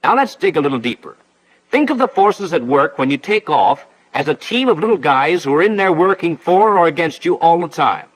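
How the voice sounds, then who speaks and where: An actor playing a breezy instructor, narrating a 1940s pilot training film in a folksy, chummy way. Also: male voice, a little high, sing-song, fairly quick, rough recording. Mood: folksy, playful. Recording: 1940s film soundtrack with faint noise.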